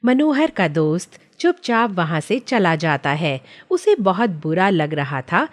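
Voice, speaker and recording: A woman's voice: on the low side, very animated, a little slow. A woman narrating a scripted children's story as the storyteller.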